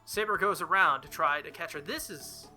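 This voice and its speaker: A man's voice: on the high side, moderate pace, sharp tone. A man reading a visual novel aloud as a let's-play host, theatrical and jokey, with casual asides. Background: faint game music.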